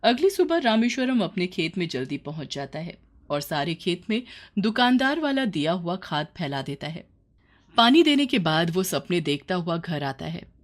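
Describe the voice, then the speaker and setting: A woman's voice: lowish voice, big pitch swings, normal speed. A woman narrating a scripted moral story for children as its storyteller.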